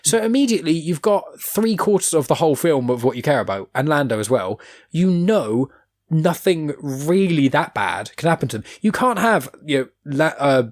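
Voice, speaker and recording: A man's voice: a little high, big pitch swings, moderate pace. A man hosting a podcast, chatting casually in an opinionated, rambling way and swearing freely. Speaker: podcast host. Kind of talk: casual chat.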